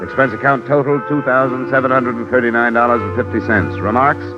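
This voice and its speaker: A man's voice: medium pitch, steady tone, a little fast, natural voice. An actor playing a hard-boiled insurance investigator who narrates his cases in a dry, matter-of-fact way, in a 1950s radio drama. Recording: muffled old radio broadcast with music.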